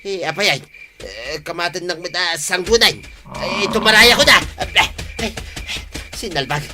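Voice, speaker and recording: A man's voice: on the high side, expressive, measured pace. A man with an Ilocano accent playing a stubborn, vengeful village farmer who grumbles, in a radio comedy drama. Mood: irritated. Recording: music behind the voice.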